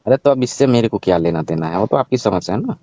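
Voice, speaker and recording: A man's voice: medium pitch, animated, fast, mellow. A man playing a cheeky, money-minded village ice-cream seller in a staged phone-call skit, matter-of-fact, teasing and evasive. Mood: businesslike. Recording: dull, lo-fi call recording.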